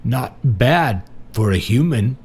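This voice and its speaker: Confused old man played by a male narrator, giggly and self-amused, rambling storybook character with highly animated, swooping pitch. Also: moderate pace, slightly rough. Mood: muddled.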